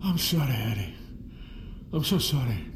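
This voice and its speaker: An actor playing a confused, apologetic elderly brother in an audio drama, speaking hesitantly. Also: male voice, mid-range voice, sing-song, moderate pace, noisy background, natural voice. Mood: sorrowful. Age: older. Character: confused, sorrowful elderly man, long-bereaved twin brother. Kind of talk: audio fiction drama acting. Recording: roomy sound with music behind.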